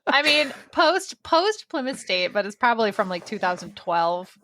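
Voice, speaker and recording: A woman's voice: fairly high pitch, very animated, normal speed, fairly bright tone, dry recording. A woman co-hosting a podcast, talking in a casual, conversational way. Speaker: podcast co-host. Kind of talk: casual chat.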